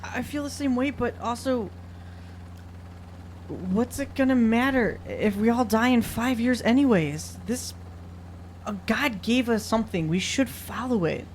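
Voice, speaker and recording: A woman's voice: on the high side, measured pace, a little hiss or hum. A woman chatting as a player in a tabletop game in a blunt, matter-of-fact way. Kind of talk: casual game chat.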